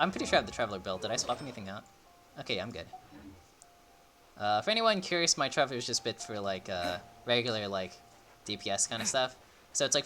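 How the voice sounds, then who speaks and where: Animated, bright-voiced male game streamer. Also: medium pitch. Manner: rambling, excitable, thinking aloud. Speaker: livestreamer playing a game. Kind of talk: casual gaming commentary.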